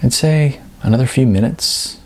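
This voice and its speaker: A man's voice: medium pitch, moderate pace, natural voice. A man voicing a focused young woman filmmaker in an audiobook, intent and matter-of-fact.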